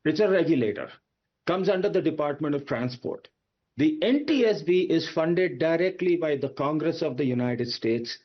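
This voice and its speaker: A man's voice: on the high side, animated, normal speed, lo-fi sound. A man with an Indian accent giving emphatic, argumentative expert analysis on a news debate. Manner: emphatic, argumentative, with rhetorical questions. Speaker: aviation expert panelist.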